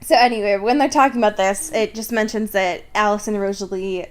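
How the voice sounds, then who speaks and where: A woman's voice: mid-range voice, steady tone, fairly quick. A young woman co-hosting a casual, comedic book podcast, sweary and exasperated, often doing mocking quotes. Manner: exasperated, sweary, mock-quoting. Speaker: podcast co-host. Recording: clear background noise.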